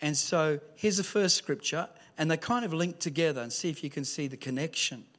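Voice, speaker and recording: A pastor with a high voice preaches to his congregation. He tells stories with humour and punches key lines with a raised voice. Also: brisk. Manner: lively storytelling with humour, rising to shouts. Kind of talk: sermon. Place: church hall with screen.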